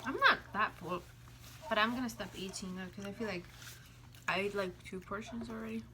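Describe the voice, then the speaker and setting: A woman's voice: medium pitch, fairly quick, background noise. A woman co-hosting a mukbang vlog, chatting informally and teasingly while she eats. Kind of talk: casual chat while eating.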